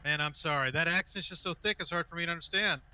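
A fast-talking man with a high, sharp voice playing a sheriff's deputy at a traffic stop. He keeps it polite and businesslike over a headset mic. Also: smooth, poor audio. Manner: polite, businesslike officer talk. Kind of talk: in-character traffic stop roleplay.

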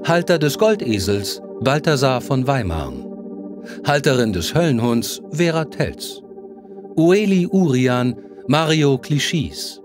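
Male podcast announcer, scripted credits read, animated intonation, clear music bed. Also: medium pitch. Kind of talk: scripted credits and outro narration.

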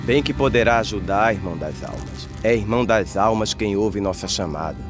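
A man playing a stoic, resigned peasant pallbearer, speaking deliberate, solemn verse in an animated film. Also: on the low side, close to an everyday voice. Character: stoic male peasant carrying the dead, dry, resigned. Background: music.

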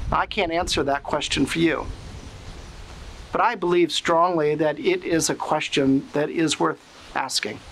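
Middle-aged priest, man, reflective homily delivery, slow and measured, with a higher voice, recorded outside on a lawn. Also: fairly bright tone, slight background noise. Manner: slow, earnest, reflective preaching. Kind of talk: sermon. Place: outdoors on a sunny lawn.

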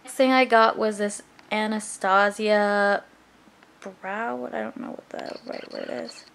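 A woman's voice: mid-range voice, fairly even intonation, measured pace, creaky, a bit bright. A young woman in her twenties chatting casually to a handheld camera, upbeat and filling her talk with "like". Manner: chatty, upbeat, says "like" a lot. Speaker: vlogger. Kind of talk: casual vlog chat to camera. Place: home, car and gym.

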